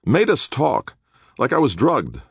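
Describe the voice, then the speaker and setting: A man's voice: mid-range voice, sing-song, brisk, no room sound, clean studio sound, pitched above the performer's own voice. A man voicing an anxious, indignant male defendant who protests and pleads, in an audiobook. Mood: angry. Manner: agitated, protesting.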